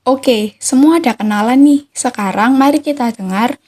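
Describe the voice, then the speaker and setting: A woman's voice: high-pitched, moderate pace, a little mellow. A teenage young woman with an Indonesian accent, hosting a student podcast in a casual style.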